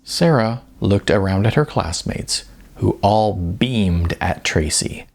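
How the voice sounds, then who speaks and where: A man's voice: lowish voice, animated, slow, vocal fry. A man narrating comic fiction expressively, with a wry, emphatic, sardonic delivery. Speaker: audiobook narrator.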